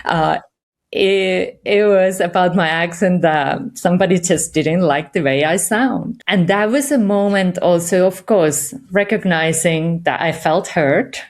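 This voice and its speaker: Finnish-accented woman, meditation guide, low-ish voice, thoughtful and open, laughs lightly. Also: unhurried. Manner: reflective, candid, frequent light laughs. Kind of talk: conversational interview.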